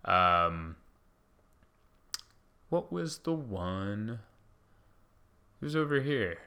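A man's voice: lowish voice, sing-song, extremely slow, gravelly, sharp tone. A man in his thirties, born in Hungary and speaking English as a second language, chatting casually with a slightly slurred, rambling diction and no foreign accent. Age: 30s. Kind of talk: casual chat.

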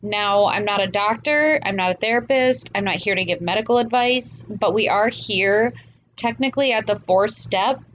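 A woman's voice: medium pitch, moderate pace, a bit bright, muffled recording, a little hiss or hum. A woman hosting a solo podcast, talking in an earnest, chatty way with a stuffy nose from a cold. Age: adult parent. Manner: earnest, chatty, laughs at herself. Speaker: podcast host. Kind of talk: casual solo podcast talk.

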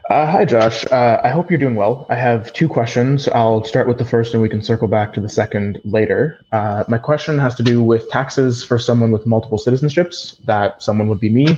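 A man's voice: medium pitch, a little fast, hardly any pauses, a little mellow. Young male caller on a muffled line. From Canada. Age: 20s. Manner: polite and orderly, with some fillers. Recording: dull lo-fi phone call.

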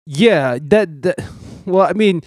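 A man hosting a podcast, talking excitably with lots of swearing, laughter and stumbling restarts. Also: high-pitched, slow pace, no echo, clean studio sound. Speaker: podcast host. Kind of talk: casual podcast conversation.

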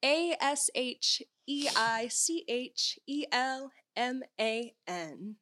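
Casting: female guest, young adult, fairly high register, dry jokes at her own expense. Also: lively intonation, extremely slow, sharp tone. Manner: self-deprecating, dry joking. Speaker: podcast guest. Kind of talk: casual chat.

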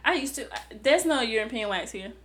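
A woman's voice: a little high, animated, fairly quick, fairly bright tone. A woman co-hosting a podcast, chatting and teasing her friends in a casual way. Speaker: podcast co-host. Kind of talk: casual chat.

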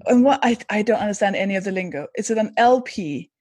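A woman's voice: mid-range voice, animated, quick pace, darkish tone, dry recording. Chatty woman host in a dry recording. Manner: chatty, trails off and restarts, laughs often. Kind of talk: casual conversation.

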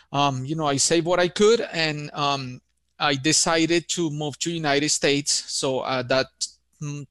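Guest: Colombian man, 40s, Spanish-accented English, eager storyteller, slightly high pitch. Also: a bit bright, clean tone, no echo. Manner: friendly, enthusiastic, says man and you know often. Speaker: architect and podcast guest. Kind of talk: casual interview storytelling.

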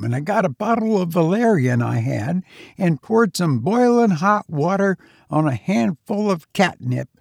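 Slow, creaky-voiced man reading a folksy story. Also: high voice, dry recording, studio quality. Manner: folksy, expressive. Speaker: audiobook reader. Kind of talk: first-person story narration in rustic dialect.

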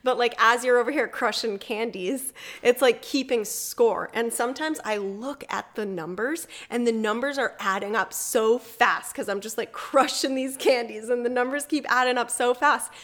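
A woman's voice: a little high, normal speed, fairly bright tone. A woman hosting a casual chat in an informal, goofy way, with slangy talk peppered with "like". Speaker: host.

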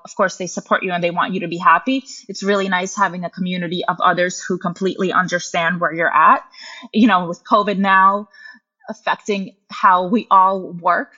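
Muffled, bright-voiced young woman guest. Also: on the low side. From Russia. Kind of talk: conversational podcast interview.

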